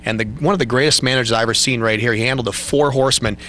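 A man's voice: mid-range voice, steady tone, very fast, bright, forceful tone. A middle-aged man interviewing guests at a convention with a handheld mic in an indoor room, talking in an upbeat announcer manner. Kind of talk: event interview.

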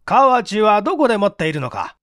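Performer doing a male police chief, stern, commanding, accusatory, raised pitch, detective storybook. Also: very animated, fairly quick, fairly bright tone, no room sound, studio quality. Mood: stern pressure.

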